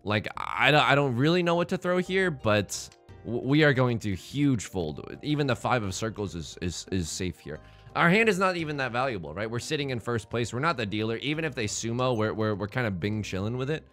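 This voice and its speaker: A man's voice: mid-range voice, animated, brisk, fairly bright tone, soft background music. A man from China hosting a let's play, commenting on his own game in a jokey, rambling way with playful internet slang. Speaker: gaming channel host.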